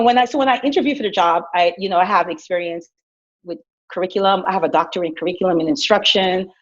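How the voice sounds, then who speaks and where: A middle-aged woman from Guyana, an educator, telling her leadership story in a lively, chatty way with fillers and restarts. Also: lowish voice, husky. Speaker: director of innovation at a school. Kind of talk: personal leadership story, casual. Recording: dull lo-fi recording.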